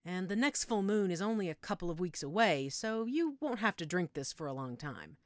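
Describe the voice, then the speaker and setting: A woman's voice: on the low side, sing-song, brisk, no echo. A woman playing a frank, caring female werewolf in an audio roleplay, matter-of-fact and reassuring with a wry edge. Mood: soothing, practical. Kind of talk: audio roleplay drama, one-sided conversation.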